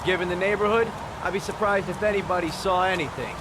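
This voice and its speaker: An actor playing a blunt, impatient police lieutenant who swears, in video game dialogue. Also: male voice, sits high, fast, noisy background, lightly acted. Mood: gruff. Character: gruff middle-aged male police lieutenant.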